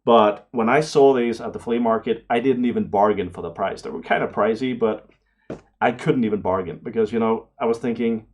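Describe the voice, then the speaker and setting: A middle-aged man talking casually and excitedly as he hosts an unboxing video, rambling along with frequent exclamations. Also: on the low side, a little fast. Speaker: video host.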